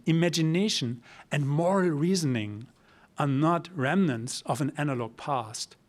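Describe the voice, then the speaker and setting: A middle-aged man giving an academic lecture, speaking in a measured, emphatic way with pauses, then answering audience questions. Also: on the high side. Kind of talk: academic talk and Q&A. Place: lecture hall.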